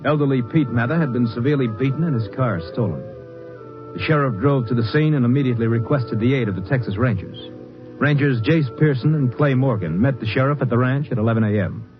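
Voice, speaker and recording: A man's voice: medium pitch, fast talker, over music. A man delivering scripted narration for an old-time radio crime drama. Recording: muffled old radio recording.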